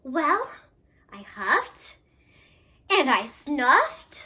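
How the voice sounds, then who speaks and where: A woman's voice: sits high, very animated, drawn-out words, sharp tone, exaggerated voice. A woman voicing a sly male storybook wolf who tells his side in a chatty, mock-innocent, emphatic way. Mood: dramatic, self-excusing.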